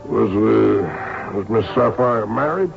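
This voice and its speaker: Brisk probing cop in old radio play, gravelly fry, dull vintage sound. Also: male voice, fairly low pitch, expressive, faint music behind, heavily acted. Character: male police inspector, brisk, probing, dogged detective. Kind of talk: old-time radio drama acting with first-person narration.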